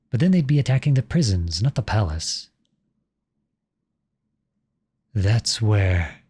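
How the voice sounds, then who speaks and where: A man's voice: on the low side, dark tone, breathy edge, heavily acted. A man playing an impassioned, defiant young prince turned soldier in a scripted audio roleplay, with an earnest, dramatic delivery. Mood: disbelieving.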